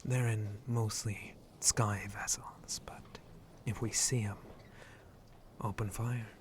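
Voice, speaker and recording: A man playing a flirty, roguish shark-monster pirate in an ASMR roleplay, breathy and often whispering, with a teasing, rustic pirate way of talking. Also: lowish voice, fairly even intonation, slow. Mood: instructive, wary. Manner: rustic pirate dialect, hesitant drawl, teasing.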